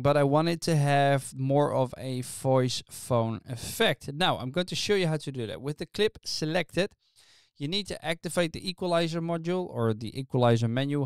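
A middle-aged man casually explaining software step by step as a tutorial host, speaking close into a studio microphone. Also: on the high side, clean tone, no echo. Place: small indoor studio, dry. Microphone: close studio microphone on a stand.

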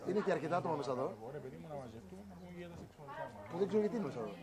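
A young man, a reality show contestant, talking things over with housemates in a halting, rambling, teasing way. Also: fairly high pitch, animated, a crowd in the background. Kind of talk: casual argument among housemates.

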